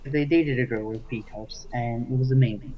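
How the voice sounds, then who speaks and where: A man's voice: mid-range voice, moderate pace, recorded from a distance. A young man goofs around as a podcast guest, delivering silly facts and mock life advice. He sits well back from his mic. The sound comes through muffled and dull. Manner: goofy, playfully preachy. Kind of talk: casual chat.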